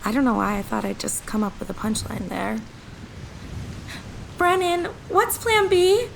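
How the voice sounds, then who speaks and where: High-voiced, anxious teenage girl. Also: very animated, a little slow, creaky, a little hiss or hum, heavily acted, low-quality recording. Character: anxious, determined teenage girl on a fantasy quest. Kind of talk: audio drama acting.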